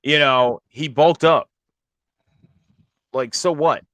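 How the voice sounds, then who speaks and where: A man with a hoarse voice, strained from screaming at a concert, hosting a casual, informal review and commentary show about wrestling. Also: a little high, steady tone, slow, a bit bright, no echo.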